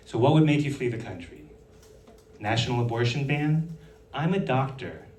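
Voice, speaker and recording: A man performing stand-up on stage with a dry, sarcastic delivery. His pitch swings widely from line to line. He sounds a little distant, set back from the mic in a roomy hall. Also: a little hiss or hum. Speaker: stand-up comedian and physician.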